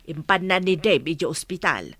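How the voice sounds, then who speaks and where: A woman's voice: on the low side, expressive, normal speed, a bit bright, dry recording, studio quality. A woman with an Ilocano accent playing a concerned, reproachful village mother in a radio drama.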